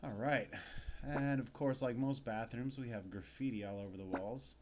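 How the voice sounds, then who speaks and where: A man's voice: medium pitch, normal speed, background noise. A man doing a casual, sardonic, sweary playthrough, reading game text aloud. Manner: foul-mouthed, sardonic. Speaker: video game let's player. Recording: game music behind the voice. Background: video game music.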